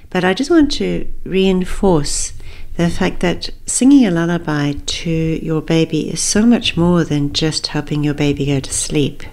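A woman from Zambia hosting a podcast as a singing teacher, talking informally in a gentle, encouraging, friendly way. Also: sits low.

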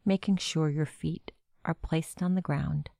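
A woman narrating a guided meditation with gentle, measured instructions. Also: deep voice, steady tone, creaky, dry recording, studio quality.

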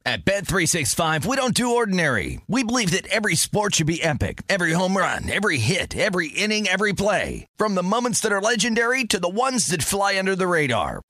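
A man reads an advertising script in a fairly high, animated voice. It is bright and punchy, recorded dry. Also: no room sound. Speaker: commercial voice-over announcer.

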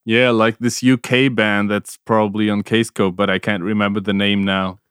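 Smooth-voiced man, hesitant and giggly. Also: on the low side, little pitch movement, moderate pace, a bit bright, dry recording, clean studio sound. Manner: hesitant, repeats words, laughs often. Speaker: music podcast co-host. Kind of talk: casual chat.

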